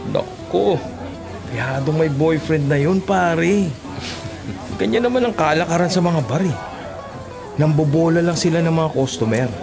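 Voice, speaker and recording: A man's voice: on the high side, expressive, a little slow, gravelly, pauses often, music in the background. A man acting a teasing, streetwise market butcher in a Filipino radio drama, with colloquial, knowing banter. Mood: cynical, knowing.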